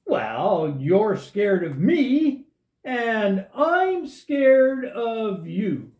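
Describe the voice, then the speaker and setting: A woman's voice: on the high side, sing-song, drawn-out words, darkish tone, heavily acted. A woman voicing a naive, timid but friendly crocodile in a children's puppet show, with a simple, questioning delivery. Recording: dull, echoey room recording.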